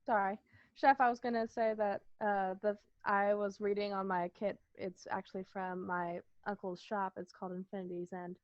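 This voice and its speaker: A woman's voice: medium pitch, level tone, normal speed, no room sound. Woman in dry, dull-sounding audio. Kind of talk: casual explanation.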